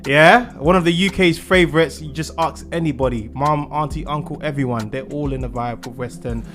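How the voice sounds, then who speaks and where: A man's voice: fairly high pitch, brisk, fairly bright tone. A man hosting a casual music interview in a studio. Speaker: interviewer and host.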